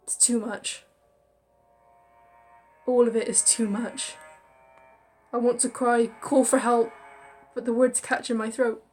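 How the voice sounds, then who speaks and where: High-voiced woman, dramatic game playthrough. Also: level tone, takes it slowly, pauses often, a little mellow. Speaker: gaming video host. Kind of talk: dramatic read-aloud of visual novel narration. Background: game music.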